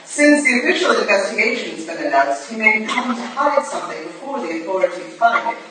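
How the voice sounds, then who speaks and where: A shrewd, commanding Victorian lady detective acted by a woman speaks in formal, clipped, imperious phrases. It is a staged reading, caught from far off in a very echoey hall with clear background noise. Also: mid-range voice, animated, fairly quick, natural voice. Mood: coolly analytical. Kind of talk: staged reading of a period drama. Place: live staged reading in a roomy indoor venue.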